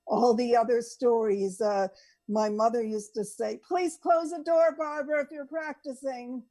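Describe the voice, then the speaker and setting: A woman with a soft-edged, mellow voice shares personal anecdotes as a co-host. She speaks very slowly and deliberately, halting now and then, in a fairly high register. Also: fairly even intonation. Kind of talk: casual personal storytelling.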